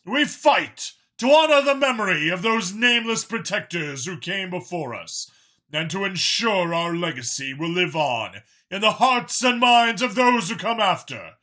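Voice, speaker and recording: A man performs a noble alien commander in a science fiction audiobook. The oratory is solemn and impassioned, pitched high and swinging widely for emphasis. The tone is bright and forceful. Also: expressive, unhurried, full character voice. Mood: impassioned, rallying. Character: noble alien war commander giving a rousing speech.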